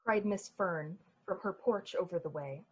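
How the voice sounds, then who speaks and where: A woman narrating a radio play in a measured, atmospheric, dramatic storytelling style. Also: fairly low pitch, breathy edge, muffled recording. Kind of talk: literary radio-play narration in 1940s manner. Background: music.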